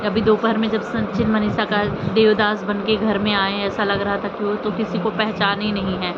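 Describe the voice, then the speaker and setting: A woman's voice: mid-range voice, flat delivery, moderate pace. A woman vlogger giving casual commentary on family drama, rambling and repeating phrases for emphasis. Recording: muffled audio with loud outdoor traffic noise.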